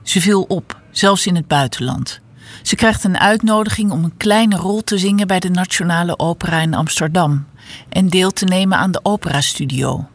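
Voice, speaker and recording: A woman narrating a documentary in a calm, measured way. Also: lowish voice. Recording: music underneath.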